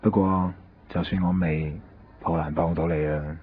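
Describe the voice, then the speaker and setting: An actor with a Hong Kong accent playing a cocky, streetwise hustler and loyal friend in a radio drama. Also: male voice, sits low, little pitch movement, moderate pace, slight background noise, lightly acted. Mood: breezy. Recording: dull 1990s radio recording, faint music.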